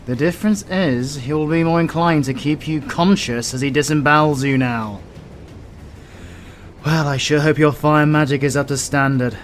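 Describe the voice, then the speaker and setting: A man's voice: fairly high pitch, fairly even intonation, normal speed, slight background noise, close to an everyday voice. A man with a British accent playing a stern, sardonic demon hunter who mentors his apprentice in a fantasy audio roleplay, dry and commanding. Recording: music behind the voice. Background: music.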